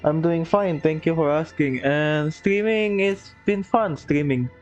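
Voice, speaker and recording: A game streamer making flat, repetitive casual remarks to viewers over gameplay. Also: mid-range voice, unhurried, dull audio, soft background music.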